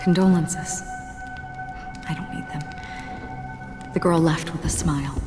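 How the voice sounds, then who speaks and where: An actress voicing a melancholy, detached female wanderer in a game, reflective, stoic and softly subdued. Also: lowish voice, wildly swooping pitch, measured pace. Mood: quiet grief. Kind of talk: dramatic game dialogue. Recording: long hall reverb, music behind. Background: music.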